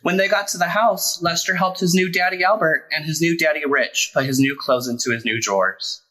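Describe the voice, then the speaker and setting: A man reading a children's picture book aloud as a storyteller, in an expressive, deliberate way with emphasized words. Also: on the high side, rough recording. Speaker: storyteller, drag performer.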